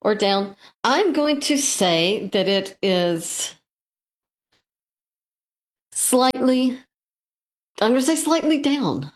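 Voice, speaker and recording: A woman's voice: a little high, lively intonation, very slow, pauses often. A woman co-hosting a live financial radio show, bantering casually with the host.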